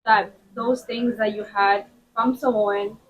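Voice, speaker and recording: A woman interviewer asking questions in a casual, slightly hesitant, halting way. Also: fairly high pitch, fairly even intonation. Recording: dull lo-fi sound with faint noise.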